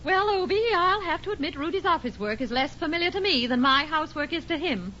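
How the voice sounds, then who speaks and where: A woman playing a brisk, bossy, expressive housewife in a 1940s radio situation comedy. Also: high-pitched. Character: brisk, no-nonsense housewife taking over the office. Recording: muffled 1940s radio recording, faint noise.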